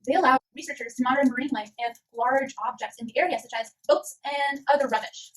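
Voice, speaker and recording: Woman as a high, very fast earnest puppet presenter. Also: steady tone, a bit bright, low-quality recording. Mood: earnest explaining. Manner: earnest, explanatory. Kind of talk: scripted educational narration.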